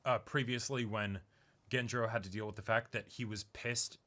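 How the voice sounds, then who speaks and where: A man's voice: fairly low pitch, steady tone, moderate pace, bright, forceful tone. A man in a casual discussion as a co-host, talkative and explaining his points as he goes. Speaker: co-host of an anime reaction discussion.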